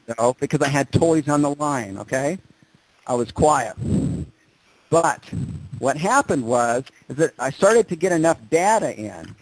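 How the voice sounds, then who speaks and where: A man with a slightly high voice delivers a motivational presentation. He speaks emphatically, leaning on dramatic pauses and repeating key points as he moves between advice and personal storytelling. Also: low-quality recording. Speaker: motivational speaker presenting on a group conference call. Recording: conference call audio.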